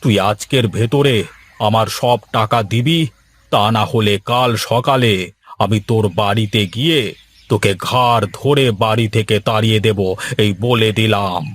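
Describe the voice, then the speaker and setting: A man's voice: lowish voice, fairly even intonation, a little slow. Man playing a bossy cartoon farm owner. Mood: angry. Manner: scolding, domineering. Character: blustering, bossy male shrimp-farm owner, quick to threaten. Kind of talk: cartoon dialogue acting.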